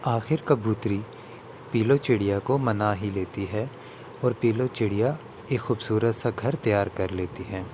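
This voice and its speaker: A man with a fairly low voice narrates a children's story in a scripted storytelling style. Clear background noise, like outdoor ambience, sits behind his voice. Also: unhurried, warm tone.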